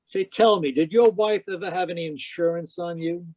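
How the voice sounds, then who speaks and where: An actor playing a wry, deadpan private detective, talking and narrating in an old-time radio drama. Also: male voice, sits high, normal speed, a little hiss or hum, natural voice. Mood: questioning, wry. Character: wry hard-boiled private detective, Sam Spade. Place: live online performance over video call.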